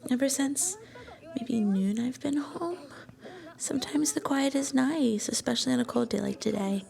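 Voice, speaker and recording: A woman playing an affectionate, shy young student girlfriend in an intimate ASMR roleplay, soft-spoken and breathy, often slipping toward a whisper. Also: high-pitched, moderate pace. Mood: relaxed, gentle. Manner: tender, coaxing, bashfully hesitant.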